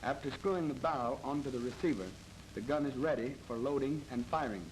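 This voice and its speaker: An American man narrates a military training film in a formal, measured, matter-of-fact 1930s instructional style. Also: mid-range voice. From United States. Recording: noisy old 1930s film soundtrack.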